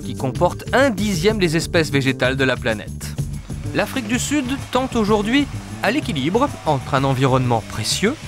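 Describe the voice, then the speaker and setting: A man narrates a travel documentary from a studio voice-over booth. His voice sits somewhat high for a man and moves through a lively, wide melodic range. Music plays clearly underneath him.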